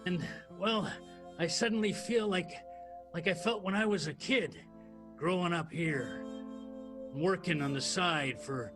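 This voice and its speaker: A man playing a halting, smitten police detective in an improvised 1920s radio soap. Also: high-pitched, music in the background. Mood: earnest, confiding. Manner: halting, stammering.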